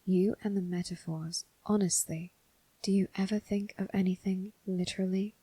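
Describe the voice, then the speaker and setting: A woman voicing a coolly curious, formal demon servant, softly teasing and half-whispering in an ASMR roleplay. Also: medium pitch, fairly even intonation, no echo, close to an everyday voice. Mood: teasing, knowing. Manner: soft, measured, breathy, half-whispered.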